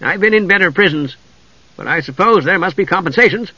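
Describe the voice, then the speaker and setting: Guy voicing a cheeky little kender dude, high jumpy chatter, audiobook. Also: animated, a little fast, pushed and bright, breathy edge, dry recording, highs cut off, heavily acted. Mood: wry.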